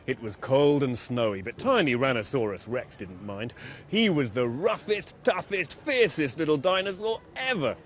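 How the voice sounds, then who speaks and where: A man telling a children's bedtime story in an expressive, dramatic way, leaning on key words. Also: mid-range voice, normal speed, a little hiss or hum.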